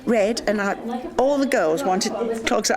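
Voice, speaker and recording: A woman's voice: mid-range voice, expressive, a little slow. An older woman, casually reminiscing in conversation. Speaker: memory club member taking part in a drama. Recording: busy room with loud background chatter.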